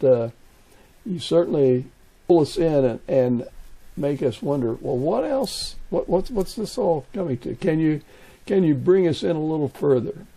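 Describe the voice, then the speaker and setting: A man hosting a conversational podcast interview, phrasing his questions and comments haltingly. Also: on the high side, dark tone, low-quality recording.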